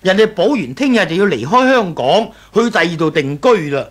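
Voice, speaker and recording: A man's voice: high voice, very animated, normal speed. An actor playing a reproving older father and family patriarch in a 1980s radio drama, with a Hong Kong Cantonese accent.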